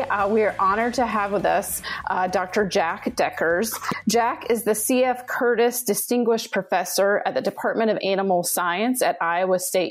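Woman podcast host. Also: medium pitch. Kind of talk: interview hosting.

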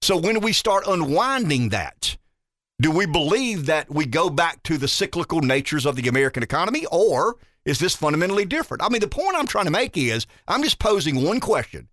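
Man in his fifties, radio host, animated rhythm, rambling rhetorical questions, lively pitch. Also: fairly quick, dry recording, clean studio sound. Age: 50s. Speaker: radio talk show host. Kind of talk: talk radio monologue.

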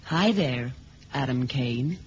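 An actress playing a self-assured American secret courier in a 1970s radio drama, cool and direct, with an American accent. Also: female voice, extremely low pitch, animated, takes it slowly, exaggerated voice. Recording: dull lo-fi old radio recording, hiss.

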